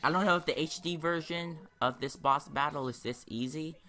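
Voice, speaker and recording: Bright-voiced man rambling through game commentary. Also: a little high, normal speed. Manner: rambling, hesitant, repeats himself. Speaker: gaming video host. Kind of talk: casual game walkthrough commentary.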